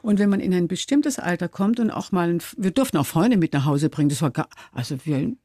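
A woman's voice: on the low side, lively intonation, a little fast, dark tone, dry recording, studio quality. An older woman telling personal stories reflectively as an interview guest, thoughtfully rephrasing as she goes. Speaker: interview guest, documentary filmmaker.

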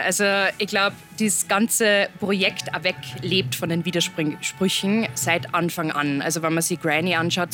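A young woman from Upper Austria with an Upper Austrian accent, speaking as a musician guest in a relaxed interview, chatty and loose. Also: mid-range voice. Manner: chatty, loose, laughs between thoughts.

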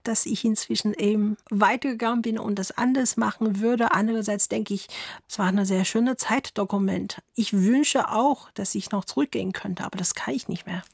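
A woman's voice: mid-range voice, normal speed, creaky, husky, no room sound, clean studio sound. Thoughtful woman violinist. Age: 30s. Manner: thoughtful, laughs softly, slight grammar slips. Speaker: violinist guest. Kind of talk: radio interview answers. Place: radio studio.

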